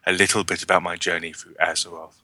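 A man's voice: sits low, little pitch movement, fast, bright, forceful tone, no echo. A man co-hosting a gaming podcast, reading listener emails aloud in a chatty, self-deprecating way. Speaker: podcast co-host.

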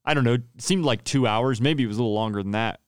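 A man with a low voice, a worship pastor, telling stories casually in an interview and acting out what people said. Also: fast talker, smooth, dry recording, studio quality. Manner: animated storyteller, acts out quoted dialogue, laughs often. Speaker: worship pastor and podcast host, interviewed as guest.

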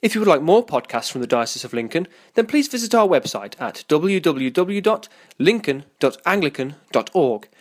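Higher-voiced, lively male podcast announcer. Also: moderate pace.

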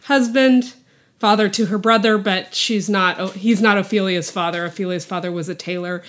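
A woman's voice: medium pitch, steady tone, normal speed. A woman co-hosting a movie podcast in casual chat, recapping a plot in a rambling way and laughing often. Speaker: podcast co-host.

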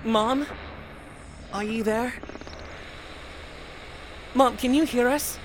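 A woman's voice: a little high, extremely slow. A woman playing an anxious, hopeful young child who misses a parent, in an audio drama. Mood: pleading. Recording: clearly noisy ambience behind voice.

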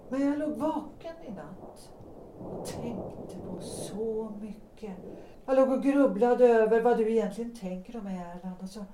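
Picture an actress voicing an intense, pleading married woman in a Swedish audio drama. Her tone is mellow and dark, and she sounds set back from the mic in a roomy space. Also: mid-range voice, distant mic, a little hiss or hum, natural voice. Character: unhappily married woman pleading with young lover.

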